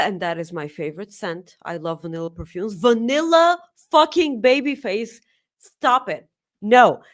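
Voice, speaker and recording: A native Bulgarian speaker, this woman co-hosts a casual wrestling talk show with blunt, emphatic, sweary opinions. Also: mid-range voice. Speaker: co-host of a wrestling preview show.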